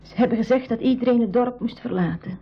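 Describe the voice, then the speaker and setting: A woman's voice: fairly high pitch, fast, slightly rough, soft background music. A shy village girl of seventeen, frightened and hesitant as a refugee in an old radio drama. She is sparing with words. The recording is dull and lo-fi. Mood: frightened, subdued. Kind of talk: 1980s school radio play acting.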